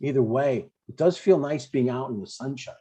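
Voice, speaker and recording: A man's voice: mid-range voice, moderate pace, warm tone, breathy edge, close to an everyday voice. A man in his sixties playing an earnest, eager but stubborn dad in a conversational comedy table read. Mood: hopeful.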